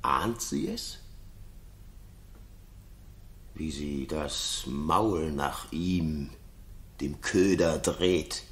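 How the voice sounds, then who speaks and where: An actor playing a jealous, paranoid king in a 1960s German radio play, brooding and theatrical, moving between muttering and outbursts. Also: low voice. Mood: bitter brooding.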